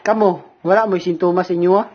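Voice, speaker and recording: A man's voice: sits high, steady tone, a little fast. A man playing a restless young guy with a Filipino accent, bantering in slang with friends in an amateur radio drama. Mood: concerned. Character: Ram, restless young man eager to hang out. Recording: dull lo-fi audio under loud music.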